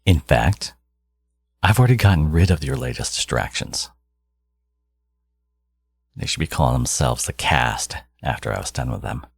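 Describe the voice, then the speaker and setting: A man playing a smug, possessive supervillain genius in an intimate audio roleplay, taunting his hero in a theatrical, teasing drawl with a gravelly, husky voice. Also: a bass voice, animated, moderate pace, pauses often, full character voice.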